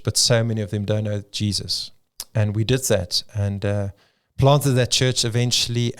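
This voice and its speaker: A middle-aged South African man, a pastor whose first language is Afrikaans, telling his story in an interview with frequent ums and restarts. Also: medium pitch. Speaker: pastor and church planter.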